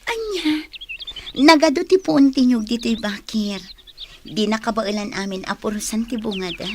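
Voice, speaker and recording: Actress as a high-voiced affectionate young sweetheart. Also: female voice, moderate pace, background noise, lightly acted. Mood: cheerful. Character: affectionate young woman in love, sweet and hospitable. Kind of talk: radio drama acting.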